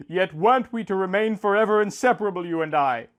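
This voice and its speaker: A man's voice: high-pitched, quick pace, pitched above the performer's own voice, natural voice. A man playing a dying wild warrior, bitter and anguished, in an impassioned, declamatory storybook reading. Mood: desperate pleading.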